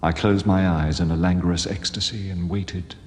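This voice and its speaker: A man reading aloud as a young male traveller, uneasy and spellbound, in a hushed, drawn-in manner. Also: low voice, fairly even intonation, moderate pace, natural voice. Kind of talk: dramatic reading of a novel excerpt. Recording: long reverb, faint ambience.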